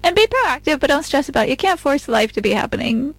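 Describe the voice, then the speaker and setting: High, creaky-voiced woman in her thirties. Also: big pitch swings, fast talker, a bit bright. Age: mid-30s. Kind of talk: casual chat.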